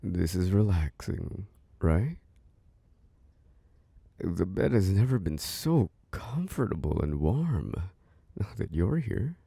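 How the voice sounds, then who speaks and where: A devoted, protective boyfriend, played by a man, coaxes a tired partner toward sleep in an intimate ASMR roleplay. His voice is low and mellow, recorded close and dry. He murmurs tender reassurance in soft, breathy tones. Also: sing-song, slow, pauses often, no echo, heavily acted. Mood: cozy, affectionate.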